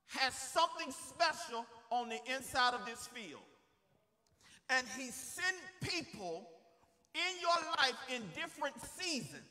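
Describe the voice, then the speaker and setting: A man's voice: extremely high pitch, bright, forceful tone. A man preaching a church sermon in an impassioned, emphatic way, repeating phrases for effect and calling on the congregation to respond. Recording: long reverberant hall sound.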